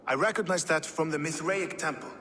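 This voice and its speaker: A blunt, higher-voiced medieval reeve. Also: male voice, a little fast, bright, forceful tone, slight background noise. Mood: thoughtful. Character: pragmatic, hard-nosed male medieval city reeve. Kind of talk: video game acting.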